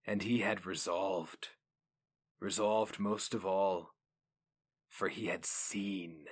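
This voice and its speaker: A male narrator delivers a dark-toned fantasy tale as a dramatic reading. His voice sits on the low side and he takes his time, very slowly and deliberately. The delivery is solemn and theatrical throughout. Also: fairly even intonation, husky.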